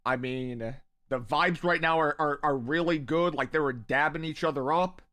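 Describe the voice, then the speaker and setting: A young man in his twenties hosting a live watch party, rambling excitedly to his chat and saying he is losing his voice. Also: on the high side, moderate pace. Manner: hyped, rambling, talks to chat.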